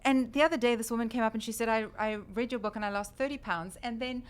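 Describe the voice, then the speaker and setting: A South African woman in her fifties, a chatty and animated author telling her story as a guest on a talk radio show. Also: fairly high pitch, fast talker, smooth.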